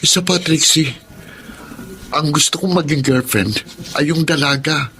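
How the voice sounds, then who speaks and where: A man's voice: a little high, a little slow, sharp tone, slight background noise. A man playing a blunt young suitor in a Filipino radio drama. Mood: stern, blunt.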